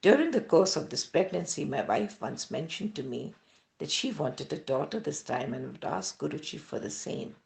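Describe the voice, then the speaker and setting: A woman with an Indian accent reading a devotional testimony aloud as scripted narration, in an expressive storytelling manner. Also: low-pitched, moderate pace, vocal fry.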